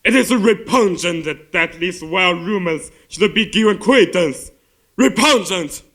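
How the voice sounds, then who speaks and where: A man's voice: sits high, animated, measured pace, a bit bright, exaggerated voice. A young male student playing a pompous, indignant military officer in an amateur stage play. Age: teenager.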